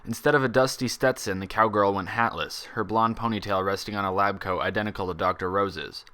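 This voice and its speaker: A man reading a story aloud as an expressive scripted narration. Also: on the low side, fairly quick, clean tone. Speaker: fan fiction reader.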